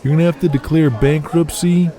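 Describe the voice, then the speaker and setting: A man's voice: on the high side, fairly even intonation, brisk, warm tone, lightly acted. A man playing a character from a 1980s pro-wrestling world in an audio drama. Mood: flippant. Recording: music behind the voice.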